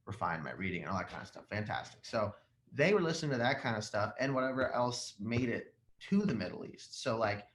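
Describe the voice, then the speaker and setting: Male, twenties, native Arabic speaker, animated casual storyteller on a video call, roomy sound with faint noise. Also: lowish voice, brisk. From Jordan, moved to the United States as a young child. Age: 20s. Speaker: podcast guest, rock singer. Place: living room at home, indoors, on a video call. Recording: echoey room, faint background noise.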